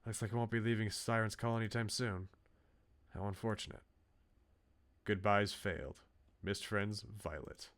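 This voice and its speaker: A man's voice: on the low side, steady tone, moderate pace, long pauses, a bit bright. A man doing casual livestream commentary, reading a visual novel aloud with playful, dry asides. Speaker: game streamer. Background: game music.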